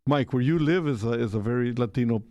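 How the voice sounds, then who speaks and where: A man's voice: mid-range voice, fast talker, dark tone, dry recording, muffled recording. A man from Costa Rica hosting a casual radio talk segment, rambling with many fillers and restarts. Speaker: radio show host.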